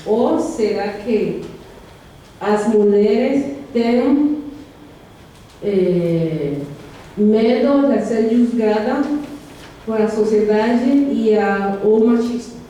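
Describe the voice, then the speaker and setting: Woman from Colombia asking slowly. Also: a little high, warm tone. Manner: slow, hesitant phrasing. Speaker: student asking a question. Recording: echoey room, faint noise.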